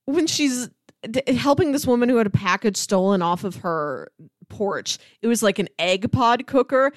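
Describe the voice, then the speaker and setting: A woman co-hosting a podcast in casual chat, telling things in an animated way and often laughing as she talks. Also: a little high, moderate pace, dry recording, clean studio sound. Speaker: podcast co-host.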